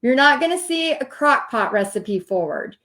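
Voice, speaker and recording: A woman's voice: high-pitched, expressive, normal speed, a bit bright. A middle-aged woman with a Texas accent giving an informal online coaching talk in a chatty, storytelling manner full of asides. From Texas, United States. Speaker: host and paint party teacher.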